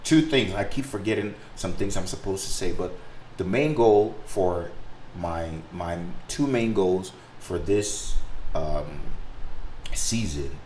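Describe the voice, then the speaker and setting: A man with a very deep voice and a Nigerian accent, a native Igbo speaker, chats off the cuff to his viewers. His delivery is rambling and hesitant, and there is some faint noise in the recording. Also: expressive, slow, a little mellow, low-quality recording. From Africa. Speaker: sim racing video creator and driver. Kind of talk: casual, unscripted explanation to viewers.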